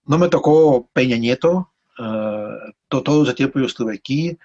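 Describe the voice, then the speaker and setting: A man from Mexico with a Mexican accent, telling stories casually as an interview guest in a rambling, halting way with repeated words. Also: mid-range voice, darkish tone, dull audio. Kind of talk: casual conversational storytelling.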